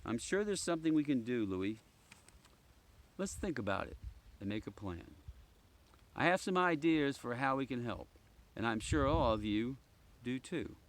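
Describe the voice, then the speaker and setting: A man voicing an encouraging woman teacher who gently guides young bird watchers in a storybook. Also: medium pitch, animated, moderate pace, long pauses, close to an everyday voice. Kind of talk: children's storybook read-aloud. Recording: clearly noisy background.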